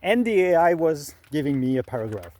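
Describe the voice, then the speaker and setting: A man's voice: fairly high pitch, very animated, a little fast, a little mellow. A middle-aged man with a French accent, a native French speaker, explaining his process in casual talk with frequent fillers and restarts. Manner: hesitant, many fillers and restarts. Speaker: creator sharing his process.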